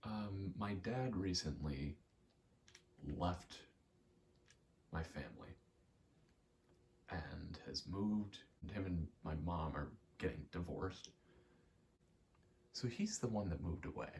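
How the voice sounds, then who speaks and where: A young adult man in a quiet, casual ASMR-style ramble, speaking candidly and hesitantly, often trailing off. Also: sits low, slow pace, vocal fry, pauses often, slight background noise. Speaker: video maker.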